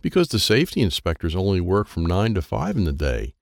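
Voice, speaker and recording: A man voicing a pushy, matter-of-fact young girl who explains things briskly in a children's story. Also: low-pitched, huge pitch swings, vocal fry, a little mellow, no room sound, studio quality, heavily acted.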